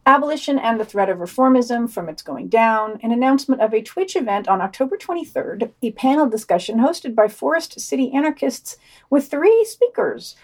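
A woman's voice: on the high side, sing-song, moderate pace, poor audio. Dry-witted woman podcast co-host. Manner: wry, dry asides. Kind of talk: reading and commenting on news.

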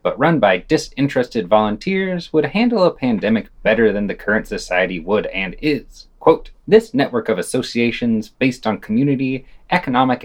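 A man's voice: medium pitch, dry recording. A man reading scripted essays and news commentary as a podcast host.

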